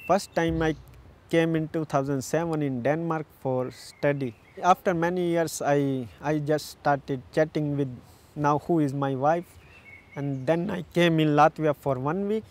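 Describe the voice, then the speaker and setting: A man from northern India talks about his life in simple, halting sentences. His voice sits slightly high for a man. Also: darkish tone. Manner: plain, halting phrasing. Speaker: interviewee. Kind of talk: casual interview talk.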